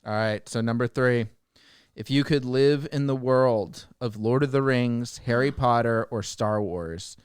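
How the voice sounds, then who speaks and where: A man co-hosting a podcast in casual banter, teasing in a dry, deadpan way. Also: mid-range voice, measured pace, clear, resonant tone, no echo. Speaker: podcast co-host.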